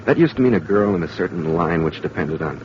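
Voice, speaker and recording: An actor playing an idealistic young college student in an old-time radio drama, earnest and impassioned. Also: male voice, sits low, expressive, fast talker. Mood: subdued, earnest. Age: young adult. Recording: dull, noisy vintage radio recording.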